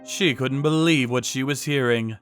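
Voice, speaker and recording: A man voicing a hardboiled male vigilante who narrates the story in a grim, steady manner in a manga dub. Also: mid-range voice, moderate pace, soft background music, close to an everyday voice.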